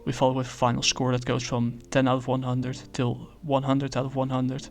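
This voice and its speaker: A man casually reviewing a comic book, talking in a halting way with many fillers and restarts. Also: mid-range voice, completely flat delivery.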